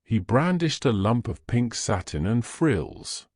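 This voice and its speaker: A man's voice: mid-range voice, very animated, slow pace, darkish tone, dry recording, studio quality. A man narrating scripted audio fiction in a storyteller's style.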